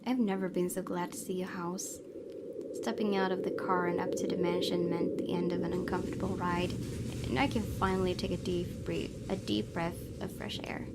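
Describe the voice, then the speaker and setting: A woman's voice: lowish voice, level tone, normal speed, heavy background noise. A woman hosting a gaming video, reading visual novel narration aloud with casual asides. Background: game music.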